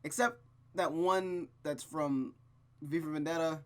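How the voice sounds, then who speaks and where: A gaming host reading a visual novel aloud with casual, rambling, expressive commentary. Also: medium pitch, measured pace. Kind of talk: casual game commentary and read-aloud narration.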